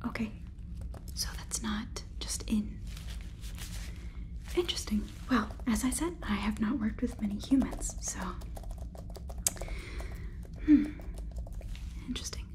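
A woman in her twenties doing a whispered ASMR role-play as a polite, curious AI robot overlord, speaking softly and haltingly close to the microphone. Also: on the high side. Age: 20s. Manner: soft, halting, deliberate whisper. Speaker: ASMR performer. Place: small room indoors, close up. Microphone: close sensitive microphone.

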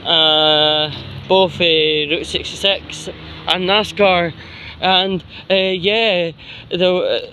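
A Scottish man rambling casually in a halting way, with long mid-sentence pauses, recording himself on a phone as he walks along a street. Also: high voice, fairly even intonation, bright, forceful tone. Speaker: vlogger. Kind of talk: rambling casual walking monologue. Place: outdoors, walking along a street pavement. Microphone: handheld phone while walking. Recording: outdoor phone recording with traffic noise.

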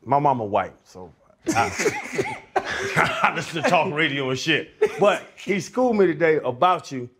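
A man's voice: on the high side, sing-song, a little slow, fairly bright tone. A man co-hosting a podcast, asking loose, rambling, slangy questions in an indoor studio. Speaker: podcast co-host. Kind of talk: casual interview questions. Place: indoor studio set with plants.

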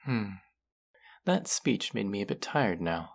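A condescending young college man, played by a male actor in an audio roleplay, with a very deep voice edged in gravelly fry. He drawls with haughty, sardonic smugness, speaking straight to the listener. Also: sing-song, takes it slowly, exaggerated voice. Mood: mocking, bossy. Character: condescending, smug young male college rich-club hopeful.

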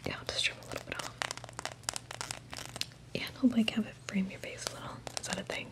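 A young woman whispering close to the microphone in a casual, reassuring ASMR roleplay as a hairdresser. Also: fast talker. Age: young adult. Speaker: ASMR creator playing a hairdresser. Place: small room indoors at home. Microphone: close desktop condenser microphone.

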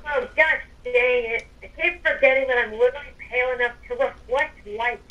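A woman's voice: fairly high pitch, a little fast, bright, forceful tone, distant mic, highs cut off. A young woman playing a character in a game roleplay, chatting playfully and rambling. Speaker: roleplay player. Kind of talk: casual in-character roleplay chat.

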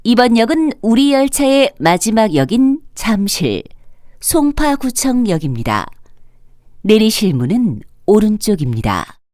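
A woman's voice: a little high, very animated, a little slow, darkish tone, no echo, soft background music. A woman reading scripted subway train announcements in a formal, polite, carefully enunciated way. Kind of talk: scripted automated transit announcement.

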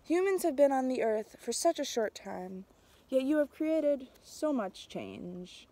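A young adult woman reading a picture book aloud outdoors, with a measured, expressive storytelling delivery. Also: high-pitched. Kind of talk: storybook read-aloud, narrated as a tree. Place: outdoors in snowy winter woods.

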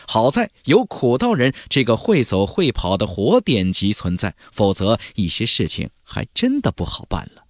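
A man's voice: on the low side, dry recording, studio quality. A man narrating a fantasy audiobook in a dramatic, scripted style.

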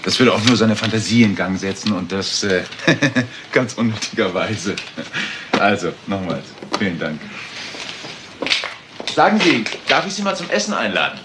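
An actor playing a polite, secretive man with shady business in a German crime radio play, speaking in a measured, persuasive way. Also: fairly low pitch, sing-song. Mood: polite, guarded. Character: smooth, secretive male stranger with shady business. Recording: loud vehicle and street noise.

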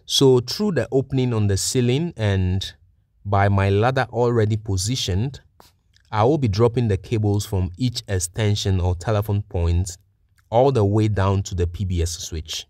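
A man's voice: on the low side, moderate pace, a little mellow, no room sound, clean studio sound. A man giving methodical, plain-spoken step-by-step narration as a technician presenting a practical tutorial.

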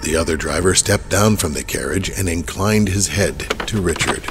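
A man's voice: sits low, normal speed. A man narrating a horror audio drama in a dramatic, scripted storytelling style. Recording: music underneath.

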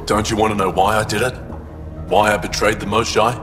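A man's voice: lowish voice, steady tone, fairly quick, natural voice. An actor playing a bitter, defiant male alien traitor held prisoner in a video game, weary and resentful. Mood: bitter, regretful. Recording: echoing cell, music behind. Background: game soundtrack music.

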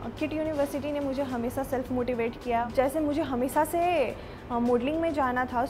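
A woman's voice: high voice, racing. A young woman student from Nepal in a college promo, racing along over music. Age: young adult. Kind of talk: testimonial. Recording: music behind voice.

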